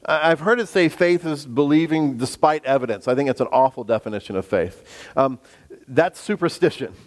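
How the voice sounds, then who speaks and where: A man preaching a sermon in a deep bass voice, earnest, slowing down to stress his points and acting out the voices of biblical figures. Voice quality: bass voice at the moment. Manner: acts out the voices of biblical figures when quoting them. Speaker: pastor. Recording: long reverb of a hall.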